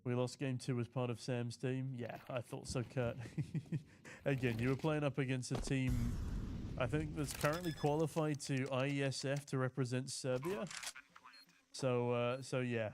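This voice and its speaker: Man, solo esports caster, chatty and jokey, mid-pitched, faint gunfire behind. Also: level tone, moderate pace, darkish tone, slight background noise. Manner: chatty, jokey, reads viewer chat aloud between play calls. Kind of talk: solo esports commentary with chat reading.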